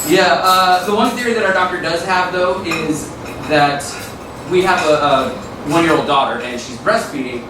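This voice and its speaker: A man's voice: a little high, normal speed. A young adult man, a native Spanish speaker from Mexico, doing a self-deprecating stand-up set with a dry delivery and nervous chuckles. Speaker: stand-up comedian. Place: comedy club stage. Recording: echoey club, mic far off, loud room noise. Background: audience laughter.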